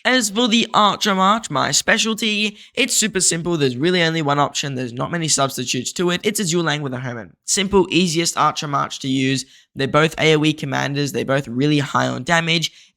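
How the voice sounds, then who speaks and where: A man's voice: fairly high pitch, sharp tone. A young man with a sore throat and a blocked nose, explaining game strategy in a chatty, recommending way. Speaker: game guide creator.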